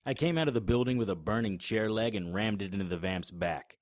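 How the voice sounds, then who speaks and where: A man narrating a radio drama in the first person with a deep, low voice. He tells it in a wry, matter-of-fact way, recorded dry and close to the mic. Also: brisk, dry recording. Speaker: narrator and lead actor.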